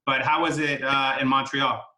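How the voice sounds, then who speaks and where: A man's voice: mid-range voice, level tone, normal speed, sharp tone, roomy sound, low-quality recording. A man hosting a casual sit-down interview in a pizzeria, chatty and friendly with lots of fillers. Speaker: host.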